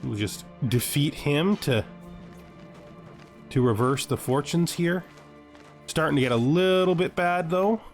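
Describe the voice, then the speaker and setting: A man's voice: a little high, measured pace, pauses often, faint music behind. A man giving casual let's-play commentary, thinking aloud and wryly addressing the game's characters. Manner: wry, talks to game characters. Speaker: gaming video host.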